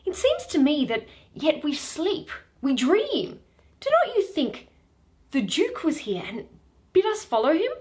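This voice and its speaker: A woman's voice: high-pitched, wildly swooping pitch, measured pace, darkish tone, pitched above the performer's own voice, heavily acted. A woman reading Shakespeare, voicing an ardent, earnest young Athenian man in love, in theatrical verse. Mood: dazed wonder.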